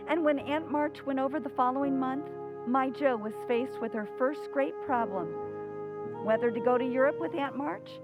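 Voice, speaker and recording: A woman playing a loving, wistful mother who narrates in a tender, measured old-time radio drama style. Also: high-pitched, close to an everyday voice, rough recording. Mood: reflective.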